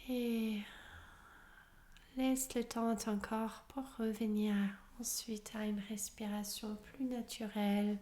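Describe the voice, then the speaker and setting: A woman leading a guided relaxation as a yoga nidra teacher, soothing and unhurried, often in a breathy half-whisper. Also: a little high, flat delivery, dark tone. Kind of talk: guided meditation, soft-spoken relaxation instruction.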